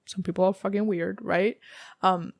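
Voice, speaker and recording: A young woman with a raspy, sore-throated voice, giving a casual tarot reading in a rambling way, full of fillers and the odd swear word. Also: mid-range voice, fairly even intonation, moderate pace, no room sound, studio quality. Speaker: tarot reader.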